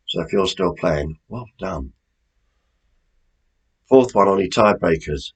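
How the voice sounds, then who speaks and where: A man's voice: deep voice, breathy edge. A British man presenting a quiz in a chatty, informal way, as the host reading out questions.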